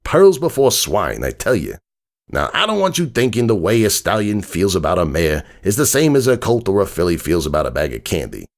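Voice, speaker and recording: A man playing a gruff, world-weary, streetwise stallion who tells a bedtime story in slangy, grumbling and wistful tones, as a dramatic reading. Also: low voice, very animated, a little fast. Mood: exasperated.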